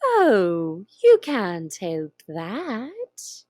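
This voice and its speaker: A mischievous grinning cat from a storybook, as a woman reads it aloud, drawls each word slowly and teasingly. The voice swoops up and down with lively pitch. The sound is close and dry. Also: dry recording, exaggerated voice. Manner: slow, drawn-out, teasing delivery. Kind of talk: storybook reading.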